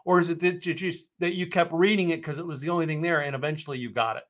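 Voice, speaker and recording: A man's voice: on the high side, fairly even intonation, quick pace. An American man hosting a casual conversation, who rambles with many fillers and restarts. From United States.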